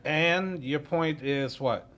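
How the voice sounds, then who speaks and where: An actor playing a stern, sardonic family court judge in a filmed courtroom drama, with noisy room sound. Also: male voice, on the high side, slow, a bit bright.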